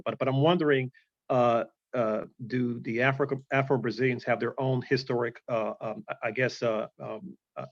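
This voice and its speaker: A man with an American accent asking questions on a video call, halting with many restarts and fillers. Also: mid-range voice, no echo. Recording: dull, dry video-call audio.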